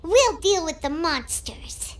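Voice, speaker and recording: A woman's voice: very high voice, expressive, slow, heavily acted. A woman voicing a small, earnest, childlike fairy companion in a video game. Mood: concerned.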